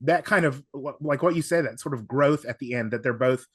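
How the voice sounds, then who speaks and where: A man talks through his analysis as a casual podcast guest. He is wordy and halting, doubling back to reword his points. His pitch is average and the sound is dry and close. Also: slightly rough, dry recording.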